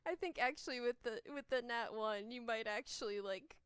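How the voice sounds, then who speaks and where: A woman's voice: a little high, normal speed, fairly bright tone, clear, resonant tone, no room sound. A giggly, amused woman improvising casual narration as game master of a tabletop game.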